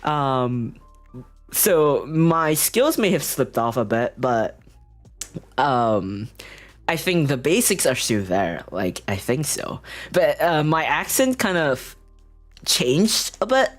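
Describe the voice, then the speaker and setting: A woman's voice: low-pitched, very animated, takes it slowly, faint music behind. A young woman VTuber chatting casually with her live chat. She giggles often, talks with many fillers and restarts, and sounds self-conscious. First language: Mandarin. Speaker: VTuber streamer.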